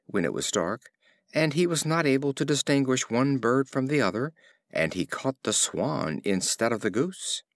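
A male audiobook narrator reads old fables aloud in a clear, storytelling manner. His pitch rises and falls in a lively way, and the close, dry recording has no room sound. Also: no room sound. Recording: dry close studio mic.

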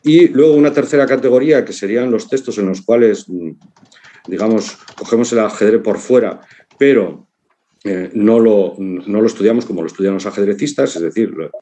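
Man, fifties, accent from Spain, lowish pitch, dark relaxed tone, reflective halting talk, video-call presenter. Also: a little hiss or hum. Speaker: congress speaker, writer and philosopher. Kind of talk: informal conference talk. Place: living room at home, on a video call.

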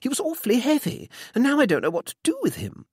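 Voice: high voice, wildly swooping pitch, quick pace, a little mellow, husky, dry recording, studio quality, voice pushed up, full character voice. A reader voicing a frantic, imperious middle-aged English mother with an upper-class accent, hissing urgently. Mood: exasperated.

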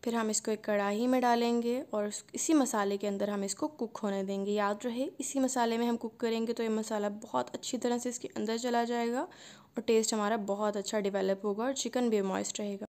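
A woman, hosting a cooking channel and calmly narrating a recipe step by step. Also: a little high, moderate pace. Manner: calm step-by-step instruction.

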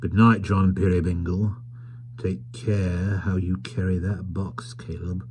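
A man's voice: deep voice, a little slow, noisy background. A man voicing a smug, domineering merchant in a storybook reading, pompous, clipped and sardonic. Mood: gruff, suspicious. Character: sneering, smug, domineering male merchant Tackleton. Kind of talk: audiobook character voice.

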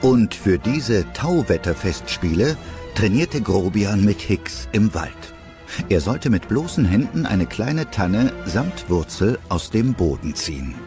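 A man's voice: on the low side, big pitch swings, slow pace, over music, full character voice. A man narrating a children's adventure radio play as a storyteller, in a scripted style.

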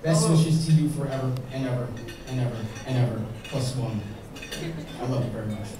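A man's voice: mid-range voice, background noise. A young man giving a best man's toast at a wedding, reading from a page into a handheld mic and joking at his own expense. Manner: joking, self-mocking, pausing for laughs. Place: large banquet hall at a wedding, indoors. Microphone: handheld mic, filmed by a far camera. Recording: echoey hall from far off, crowd laughing.

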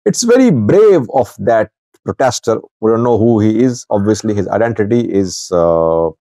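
A middle-aged man giving informal political news commentary to camera, speaking haltingly in short broken phrases. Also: lowish voice, expressive, mellow, no room sound. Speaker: news commentator, channel host. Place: small indoor office with wood-panelled wall. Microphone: small clip-on lavalier at chest.

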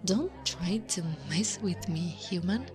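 Woman acting slow-talking, teasing older slime woman. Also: lowish voice, lively intonation. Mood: flirty, coaxing. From Italy. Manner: teasing, breathy half-whispers, frequent soft laughs. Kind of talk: ASMR roleplay. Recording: music behind the voice.

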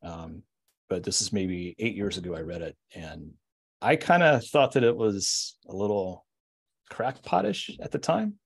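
A man with a somewhat low voice hosting a laid-back podcast conversation. He is easygoing and agreeable and keeps the talk moving with quick affirmations. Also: lively intonation, vocal fry, breathy edge. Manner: easygoing, agreeable, chiming in with short yeahs. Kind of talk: casual podcast chat.

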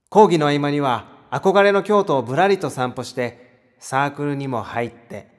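A young man acting in a radio drama as an earnest, excitable, easily flustered aspiring novelist. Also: a little high. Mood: dreamy. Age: young adult.

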